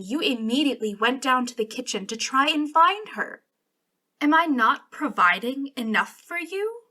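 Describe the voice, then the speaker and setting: A woman's voice: fairly high pitch, sharp tone, exaggerated voice. A woman playing an arrogant, jealous young princess in a dramatic ASMR roleplay, imperious but wounded and emotional. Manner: imperious, emotional, halting when hurt. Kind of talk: ASMR roleplay, dramatic one-sided dialogue.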